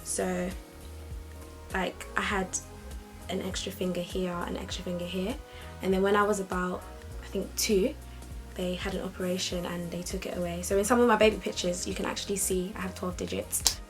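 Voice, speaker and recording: A woman's voice: lowish voice, normal speed, soft background music. Chatty young woman vlogging. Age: young adult. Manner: chatty, lively and upbeat. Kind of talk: casual talk to camera.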